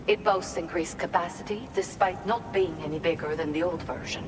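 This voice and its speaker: A low-voiced woman scientist, played by an actress, speaks in a video game cutscene. She is reserved and measured, explaining things with polite candor. Her voice carries long reverb over clearly audible noise. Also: lightly acted. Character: reserved woman researcher confiding her strange affliction.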